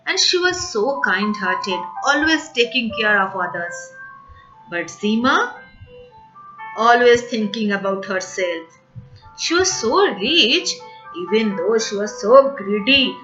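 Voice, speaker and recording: A woman's voice: fairly high pitch, big pitch swings, takes it slowly, sharp tone. A woman telling a folk tale to children in a dramatic way, repeating phrases and addressing her listeners directly. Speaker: children's storyteller. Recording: dull lo-fi audio with music behind. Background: music.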